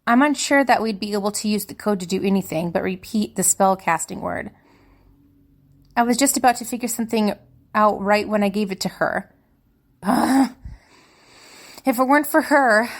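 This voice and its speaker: A woman's voice: mid-range voice, close to an everyday voice. A woman playing a young spellcaster student in an audio drama, confiding and hesitant, anxious and wistful. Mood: confiding, uneasy. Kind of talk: dramatic monologue in fiction podcast.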